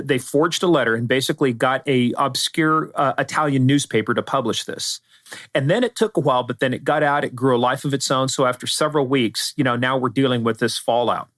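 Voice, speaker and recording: A man's voice: medium pitch, a bit bright, dry recording, clean studio sound. A middle-aged or older man with an American accent, giving emphatic, informal, anecdotal answers as an expert interview guest. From United States, spent part of childhood in Iran. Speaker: security expert guest, former law enforcement advisor.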